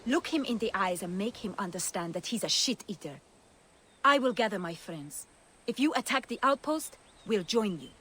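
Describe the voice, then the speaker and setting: An actress playing a fierce, bitter rebel fighter in a video game cutscene. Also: female voice, medium pitch, expressive, moderate pace, bright, forceful tone. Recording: faint outdoor ambience noise.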